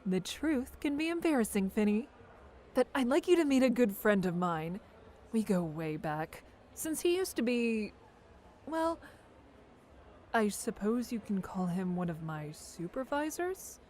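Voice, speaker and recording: A woman's voice: fairly high pitch, big pitch swings, measured pace, darkish tone, slight background noise. A woman playing an affectionate, teasing adult family friend in an audio drama, chatty and breezy. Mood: doting, teasing.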